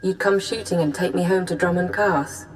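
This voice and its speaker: Game casting: woman playing weary, wry imperial agent, guarded, slightly low voice over clearly audible music. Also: moderate pace, close to an everyday voice. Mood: guarded, vulnerable. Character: proud, sardonic, weary brainwashed female imperial agent. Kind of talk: scripted video game acting.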